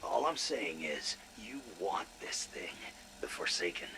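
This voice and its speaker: An actor playing an irritable, sarcastic, foul-mouthed scientist in a video game audio log. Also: male voice, deep voice, big pitch swings, a little slow, background noise. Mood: sly, conspiratorial. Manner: sarcastic, exasperated, profane.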